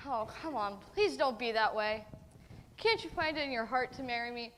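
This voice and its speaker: An earnest, lovesick young groom, played by a woman pleads sweetly with his bride. The voice sits high for a woman. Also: moderate pace, fairly bright tone, slight background noise, close to an everyday voice. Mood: pleading.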